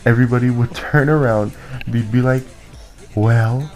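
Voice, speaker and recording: A man's voice: mid-range voice, moderate pace, darkish tone, faint music behind. A young man whose first languages were Spanish and Japanese, interviewing a friend in a casual, hesitant way with many fillers.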